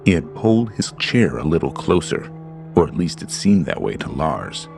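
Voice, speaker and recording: A man reading a horror story aloud in slow, deliberate, scripted narration. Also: very deep voice, big pitch swings, vocal fry, a little mellow, a little hiss or hum. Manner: slow, deliberate, ominous delivery.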